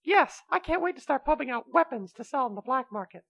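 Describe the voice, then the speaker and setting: A woman acts out a wicked little immortal kitty in an amateur radio comedy. The voice sits high and leaps around, brash and excitable, with a childish whine and a scatterbrained air. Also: fairly quick, creaky, breathy edge, heavily acted. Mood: mischievous, casual.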